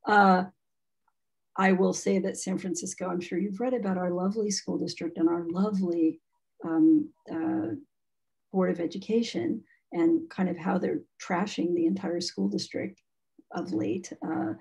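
A woman's voice: fairly low pitch, unhurried, vocal fry, darkish tone, breathy edge, rough recording. A woman speaking frankly and chattily as a guest on a video call about immersion schooling. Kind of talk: casual video-call talk.

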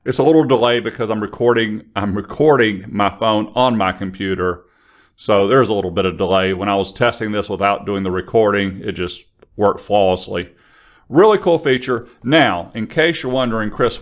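A man presenting a casual software tutorial into a close studio mic. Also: fairly low pitch. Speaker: software trainer. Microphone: large studio condenser microphone on an arm, close.

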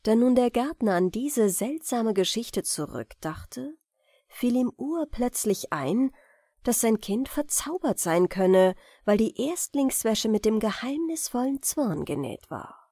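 A woman's voice: a little high, sing-song, takes it slowly, mellow, husky, no echo, studio quality. A woman reading a fairy tale as scripted audiobook narration for bedtime.

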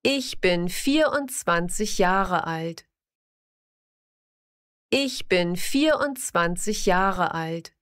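A woman slowly reading simple example sentences for a language lesson, pronouncing each word carefully and repeating every sentence. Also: fairly low pitch, expressive, a bit bright. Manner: careful, evenly paced, clearly enunciated.

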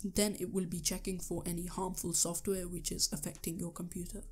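A young man with a sore, hoarse throat, talking through a computer tutorial step by step, with the odd um. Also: sits high, flat delivery, fairly quick, darkish tone, faint music behind. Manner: earnest step-by-step instructions with ums. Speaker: tech tutorial youtuber. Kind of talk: screen-recorded tutorial voice-over.

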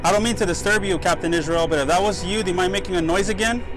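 A young man with a slightly high voice. He talks casually as a vlog host investigating on location outdoors. Soft music plays faintly behind him. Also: quick pace, low-quality recording. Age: young adult. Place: outdoors beside a lighthouse building.

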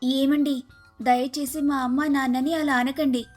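A woman's voice: sits high, little pitch movement, a little slow, darkish tone, faint music behind. A woman voicing a scheming, complaining young daughter-in-law with a dramatic manner in a family moral story. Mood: pleading. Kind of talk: moral-story cartoon dialogue.

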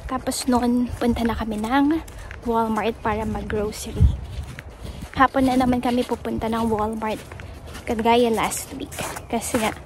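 A woman's voice: fairly high pitch, steady tone, a little slow, background noise. A woman in her thirties from the Philippines, chatting casually to a phone held at arm's length, with English mixed in and plenty of "um"s. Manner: chatty, mixes English into Tagalog, frequent "so" and "um". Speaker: vlogger. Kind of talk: casual vlog narration. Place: outdoors in a snowy yard, then a car back seat, then a big store.

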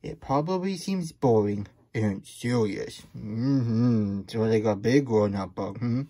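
Puppet story-time host: childlike, grumbly hedgehog played by a man, husky and mellow, stammering, fretful asides. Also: fairly low pitch, takes it slowly. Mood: reluctant, fretful. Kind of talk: puppet read-aloud with chatty asides.

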